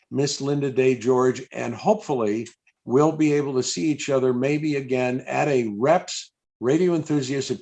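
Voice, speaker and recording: A man hosting a radio event over a video call, telling stories in a chatty, folksy and halting way. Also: mid-range voice. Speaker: interviewer and radio event host. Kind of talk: casual reminiscing on a video call.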